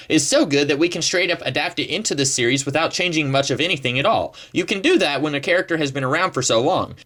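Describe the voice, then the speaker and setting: A man's voice: fairly high pitch, fairly bright tone. A man reading a scripted review of a cartoon episode, with wry, sarcastic asides.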